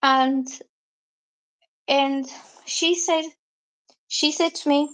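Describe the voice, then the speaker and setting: A high-voiced woman reads tarot cards on a live stream. She talks in a chatty, loose way and drifts from point to point as she explains the cards. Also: steady tone, extremely slow, rough recording. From Bulgaria. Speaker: tarot reader.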